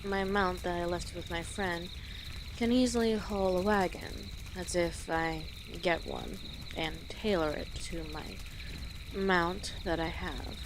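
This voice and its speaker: A woman playing an easygoing, friendly female orc travel guide in an audio roleplay, speaking gently and conversationally to the listener with pauses. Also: fairly low pitch, noisy background. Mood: relaxed, hopeful. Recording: outdoor nature ambience with birdsong behind. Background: birds and rural outdoor ambience.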